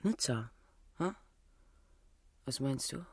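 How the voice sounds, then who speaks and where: A woman playing a wild, capricious Parisian courtesan in a radio play, teasing, halting and volatile. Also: rumbling low, expressive, slightly rough, dry recording, heavily acted. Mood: coaxing.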